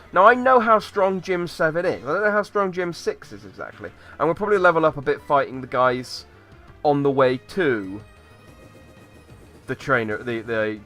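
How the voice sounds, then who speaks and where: A man with a slightly hoarse voice from a cold, rambling and thinking aloud as he plays through a game and talks to viewers. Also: mid-range voice, fairly bright tone. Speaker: let's play host. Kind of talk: casual gameplay commentary.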